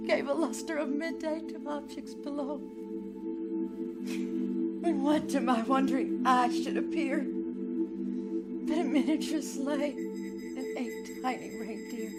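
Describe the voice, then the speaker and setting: High-voiced actress as a tender storytelling woman. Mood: excited storytelling. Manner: expressive, theatrical storytelling. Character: tender woman reading a Christmas poem to a child. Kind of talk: dramatic verse reading, live radio drama. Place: small theater stage, live performance.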